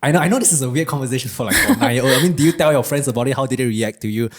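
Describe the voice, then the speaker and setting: A man's voice: mid-range voice, lively intonation, racing. A man hosting a podcast interview, rambling and stringing many questions together. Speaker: podcast host. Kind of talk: casual interview chat.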